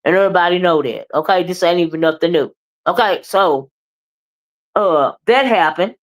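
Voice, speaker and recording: Loud, boisterous woman ranting. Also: lowish voice, moderate pace. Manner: blunt, profane, keeps asking "okay?" and "right?"; moody, confrontational. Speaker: live streamer and commentator. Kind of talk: ranting live commentary.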